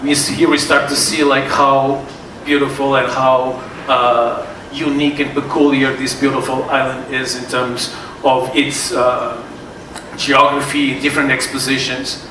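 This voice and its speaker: A man with a Portuguese accent giving a wine seminar to a live audience, speaking haltingly with many fillers and restarts. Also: medium pitch, flat delivery. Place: event hall with tasting tables. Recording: echoey room, far from mic, noisy.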